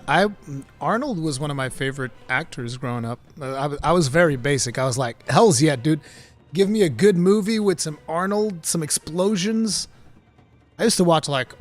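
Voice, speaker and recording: A Portuguese man with an American-sounding English accent, chatting casually as a streamer and reading viewer questions. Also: fairly high pitch. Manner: excitable, laughs often, says dude a lot.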